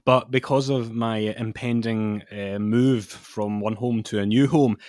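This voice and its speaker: A young man co-hosting a podcast from his home study, in relaxed, rambling, jokey chat. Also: lowish voice, unhurried, no room sound, clean studio sound. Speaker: podcast co-host. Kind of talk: casual chat.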